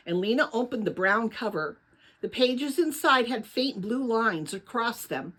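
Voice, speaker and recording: A woman narrating a children's novel aloud in an expressive storybook style. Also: mid-range voice, low-quality recording.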